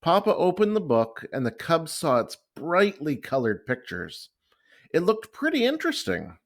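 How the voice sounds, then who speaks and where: A man reading a children's picture book and verse aloud with expressive, measured, dramatic phrasing. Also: a little high. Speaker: children's story reader.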